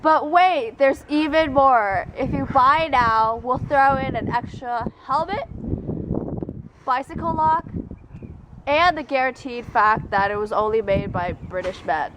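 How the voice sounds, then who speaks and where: A woman's voice: sits high, measured pace. A teenage girl playing a pushy announcer in a mock advertisement, building up each offer. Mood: salesy. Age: teens. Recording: clear background noise.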